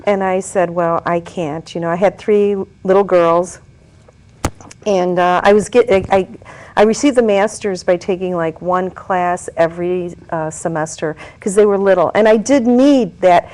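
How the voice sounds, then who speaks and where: A woman's voice: lowish voice, moderate pace, a little mellow. Chatty woman telling a story. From Italy. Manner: chatty, storytelling with frequent fillers. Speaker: guest. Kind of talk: casual talk show conversation.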